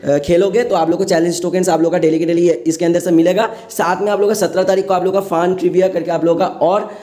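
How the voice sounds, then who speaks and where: A man's voice: sits high, little pitch movement, fast talker, dark tone. A young man with an Indian accent giving a casual gaming explainer as a host, repeating himself and mixing in English words.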